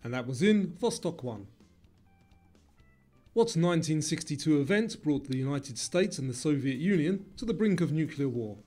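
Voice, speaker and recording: Man, quiz narrator, scripted delivery, soft dark tone, slightly high pitch, music behind. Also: expressive, moderate pace. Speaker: quiz presenter.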